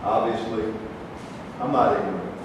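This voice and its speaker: A man's voice: medium pitch, normal speed, close to an everyday voice. Guy acting a dismissive sarcastic arguer on stage, noisy recording, way off the mic. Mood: sardonic. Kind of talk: staged reading of scripted dialogue. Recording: echoey hall, distant mic, noisy.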